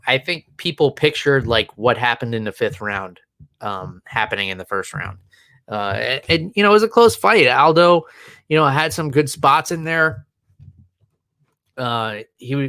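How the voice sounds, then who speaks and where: A man's voice: mid-range voice, sharp tone, smooth, no echo. A man co-hosting a casual sports podcast, rambling with lots of fillers and restarts. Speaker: podcast co-host. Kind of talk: casual chat.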